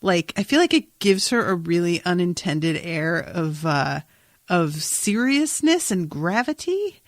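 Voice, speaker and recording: A woman hosting a podcast, casually recapping an episode in an animated, amused, sometimes sweary way. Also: on the low side, measured pace, no echo, studio quality. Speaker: podcast host.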